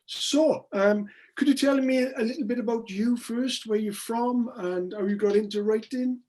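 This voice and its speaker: A man's voice: extremely high pitch, moderate pace, darkish tone. Older man, chatty rambling interviewer.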